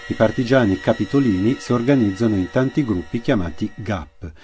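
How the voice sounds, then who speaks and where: A man telling a historical story as a theatre monologue, in a measured, solemn way with deliberate pauses. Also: on the low side, mellow. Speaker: theatre actor narrating his own monologue.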